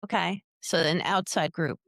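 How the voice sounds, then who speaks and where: A woman's voice: fairly low pitch, fairly even intonation, moderate pace, gravelly, a bit bright, no room sound, studio quality. A woman hosting an interview, speaking in a conversational, thoughtful way. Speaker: podcast host, psychologist.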